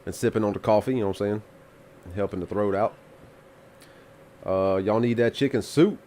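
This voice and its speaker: A man's voice: on the low side, moderate pace, dark tone, a little hiss or hum. A man hosting a live music review stream, congested from the flu, talking in a rambling, laid-back way with casual swearing. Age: adult with children.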